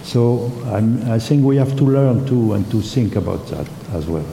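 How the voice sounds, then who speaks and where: A man on a panel giving spontaneous remarks, halting and full of fillers and restarts, in a conference hall. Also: mid-range voice, animated, creaky, dark tone, slight background noise.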